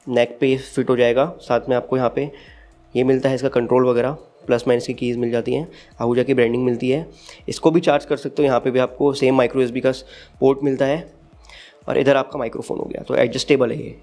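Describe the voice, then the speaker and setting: A man's voice: medium pitch, a little mellow. A man with an Indian accent giving a casual, step-by-step unboxing review as the channel's host. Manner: explanatory, step-by-step.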